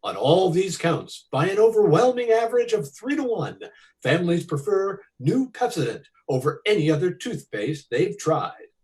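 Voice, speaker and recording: A man's voice: high-pitched, very animated, moderate pace, darkish tone, rough recording. A man announcing in 1940s radio style, reading sponsor copy with an emphatic sales delivery.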